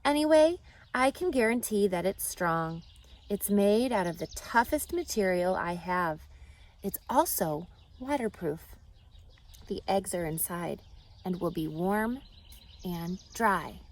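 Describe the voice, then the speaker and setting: A woman voicing a gentle, wise, aging female spider in a storybook, weak and soft, speaking in measured, articulate phrases. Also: medium pitch, lively intonation. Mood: calm, assuring.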